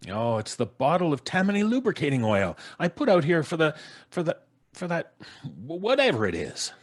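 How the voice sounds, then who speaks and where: Blustering husky husband, wildly animated. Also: male voice, fairly high pitch, normal speed. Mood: puzzled, irritated. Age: middle-aged. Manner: grumbling, stammering in bluster. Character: blustering, practical, sceptical husband and father. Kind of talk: old-time radio comedy acting. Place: small home studio on a video call. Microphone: close studio microphone.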